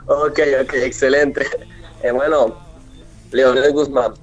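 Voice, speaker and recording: Male, early twenties, Venezuelan accent, mid-pitched, earnest devotional interviewee over faint music. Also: fairly even intonation, takes it slowly, husky. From Mérida, Venezuela. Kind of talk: radio interview, devotional testimony. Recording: dull lo-fi remote link, music behind.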